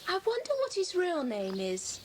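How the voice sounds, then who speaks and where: A woman playing a bright, helpful girl in a children's club, eager and inquisitive, in an audiobook dramatisation. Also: extremely high pitch, huge pitch swings, moderate pace, full character voice. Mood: eager, curious. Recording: noisy old cassette dramatisation.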